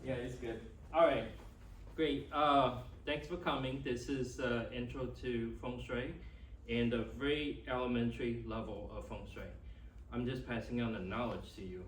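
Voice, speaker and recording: A middle-aged man with a Taiwanese accent and Chinese as his first language, giving an informal, halting talk as a class instructor. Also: medium pitch, fairly even intonation, fairly bright tone. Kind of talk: informal teaching and personal introduction. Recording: echoey room, distant mic, faint noise.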